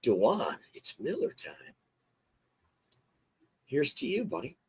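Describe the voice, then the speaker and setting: A hoarse man hosting a casual sports talk show, chatty and excitable. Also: on the high side, slow. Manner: chatty, excitable, restarts often. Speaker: show host.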